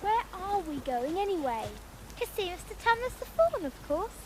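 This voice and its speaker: A woman's voice: extremely high pitch, very animated, normal speed, a little hiss or hum, low-quality recording. An actress playing an earnest, kind-hearted little British schoolgirl in a radio drama. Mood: gentle, practical.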